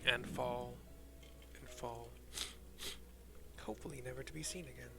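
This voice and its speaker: A man's voice: mid-range voice, fairly even intonation, normal speed, gravelly, heavy background noise. A man streaming a video game, narrating scenes and commenting casually with rambling, informal, sweary asides. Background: game music.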